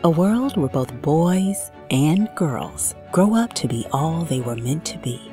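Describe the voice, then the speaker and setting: A woman narrates in a deep, unhurried voice, every phrase solemn and deliberate. Soft music plays quietly behind her scripted words. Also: expressive. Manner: slow, solemn, earnest delivery. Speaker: video narrator.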